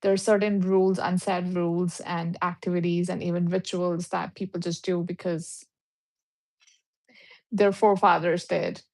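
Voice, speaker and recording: A woman's voice: lowish voice, level tone, normal speed, darkish tone. Reflective woman guest on a video call. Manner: reflective, uses many fillers like "like". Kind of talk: casual conversation on a live stream.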